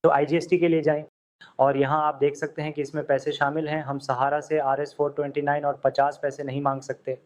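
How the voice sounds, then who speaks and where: Man guiding a software lesson. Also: on the high side, level tone, a little fast. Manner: step-by-step instructions with questions to the viewer. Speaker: software tutor. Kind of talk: screen-recorded software lesson.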